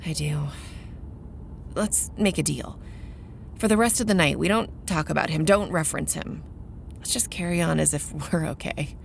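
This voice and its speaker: An actress playing a brooding, wary young vampire researcher in an audio drama, dry and guarded in both dialogue and first-person narration. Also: female voice, deep voice, normal speed, vocal fry, pauses often, slight background noise. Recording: background music under the voice.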